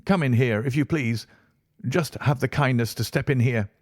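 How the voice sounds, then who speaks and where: A man voicing a nervous, fat, middle-aged gentleman whose reedy voice quivers with fear in an audiobook. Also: medium pitch, moderate pace, no room sound, clean studio sound, pitched above the performer's own voice, close to an everyday voice. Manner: nervous, fretful. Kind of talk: audiobook character voice.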